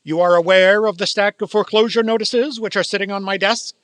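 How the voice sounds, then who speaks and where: A cold old miser in a radio play, acted by a man, with a high, hard-edged voice in a dry recording. He is formal and condescending, and his words drip with sarcasm. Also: fairly even intonation, a little fast, fairly bright tone, dry recording. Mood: cold, stern. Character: cold, miserly old male business owner, scornful of Christmas. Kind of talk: theatrical radio play acting.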